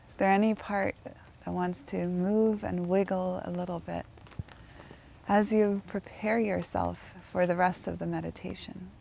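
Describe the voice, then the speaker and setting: A woman leading a guided meditation in a gentle, unhurried manner, leaving long pauses between phrases. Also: fairly low pitch, fairly even intonation, vocal fry, slight background noise.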